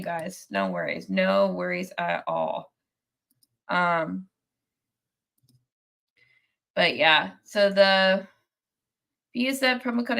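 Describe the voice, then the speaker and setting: A woman's voice: medium pitch, gravelly, sharp tone. A young woman hosting a sports fan post-game show while sick, sounding nasal and congested, rambling casually with many fillers. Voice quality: nasal from a cold. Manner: rambling, many ums and restarts, reads viewer comments. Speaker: podcast host. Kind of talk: casual live-stream chat.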